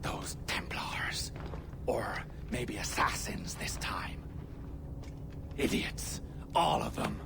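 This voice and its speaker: Male game villain: bitter, devoted fanatic, slow and creaky, theatrical. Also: fairly low pitch, in a whisper. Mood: eerie, intimate.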